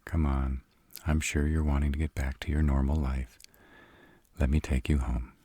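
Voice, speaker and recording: A man playing a sarcastic, brooding vampire with a soft spot, dry and grudging, in a roleplay audio drama. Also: a bass voice, flat delivery, moderate pace, slightly rough. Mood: teasing, dry.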